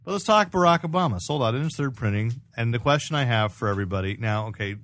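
A man's voice: mid-range voice, lively intonation, fast talker, a bit bright. A man hosting a casual news chat among friends. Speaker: podcast host.

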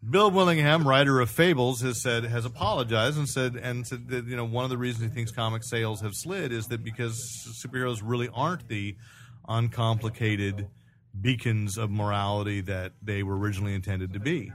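A man's voice: mid-range voice, steady tone, brisk, a bit bright. A man with a mid-range voice hosts a casual pop culture discussion. He talks in a bantering, exclamatory way and likes to tease. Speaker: podcast host. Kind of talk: casual comics and movie chat.

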